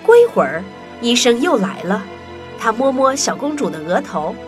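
A woman's voice: fairly high pitch, big pitch swings, normal speed, over music. A woman reading scripted narration for a children's story.

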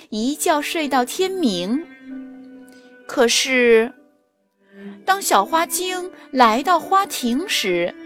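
Slow, high-voiced Mandarin storytelling woman over music. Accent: standard Mandarin. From China. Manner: expressive, careful, deliberate enunciation. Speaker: children's storyteller and language teacher. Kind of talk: scripted fairy-tale narration for children. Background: music under the narration.

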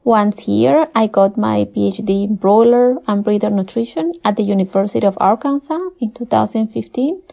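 Creaky-voiced woman with Peruvian Spanish accent. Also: medium pitch, normal speed, few pauses. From Peru. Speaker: guest, poultry nutritionist. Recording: dull, muffled lo-fi audio.

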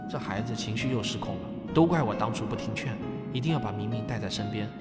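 A man's voice: fairly low pitch, fast talker, loud music behind the voice, close to an everyday voice. A man playing a patient, doting middle-aged father in a science fiction radio drama, coaxing and explaining things step by step. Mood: regretful, worried. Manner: explains step by step, coaxing, frequent sentence-end particles. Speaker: father explaining physics to a child.

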